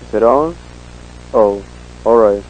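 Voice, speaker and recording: A man playing a nervous head researcher turned whistleblower, speaking in a halting, uneasy way in a found-footage horror confession. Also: on the low side, darkish tone, muffled recording. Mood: uneasy, grave. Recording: hissy static-laden found-footage tape.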